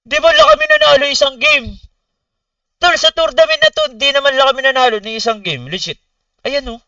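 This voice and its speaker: A Filipino man chatting casually on a livestream, telling stories in animated, slangy, swear-laden talk to his viewers. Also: extremely high pitch, fairly quick, no room sound, recorded from a distance, lo-fi sound. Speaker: gaming streamer. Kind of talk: casual storytelling livestream chat.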